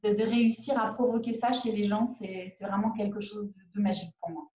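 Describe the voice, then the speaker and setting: A woman in her forties, an independent perfumer, talking on a video call from home, halting with many fillers and restarts. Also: medium pitch, fairly even intonation, a little mellow. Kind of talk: conference video call interview. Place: living room at home. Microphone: laptop webcam on a video call. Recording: roomy, dull video-call audio.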